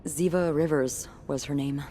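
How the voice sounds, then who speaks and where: A woman's voice: sits low, fairly even intonation, normal speed, mellow, slight background noise, natural voice. A woman acting a bold, playful, artistic hotel employee in a video game, with a wry, teasing and confident delivery. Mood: nostalgic.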